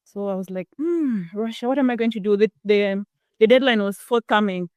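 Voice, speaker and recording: A young woman from Zambia, a guest scholar telling her story in a lively, conversational way. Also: medium pitch, fairly quick, no echo. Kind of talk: conversational storytelling on a video call.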